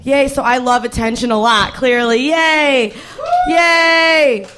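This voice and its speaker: A young woman performing a stand-up comedy set on stage, brash and profane, with a hoarse voice that carries. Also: high-pitched, slow, a bit bright. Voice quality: hoarse, carrying voice. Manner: brash, profane, self-mocking. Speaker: stand-up comic. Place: comedy stage with live audience.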